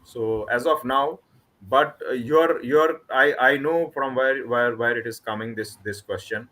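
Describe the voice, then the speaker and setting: A man's voice: medium pitch, bright, forceful tone. A man from Kashmir with an Indian accent, an author chatting casually and often restarting his sentences. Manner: halting, with many restarts and repeated words. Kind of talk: casual online book club chat.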